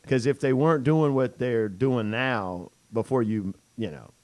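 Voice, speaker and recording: A man's voice: mid-range voice, measured pace, darkish tone, no echo. A man hosting a business radio talk show, chatting loosely with many fillers and restarts. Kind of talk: casual interview chat.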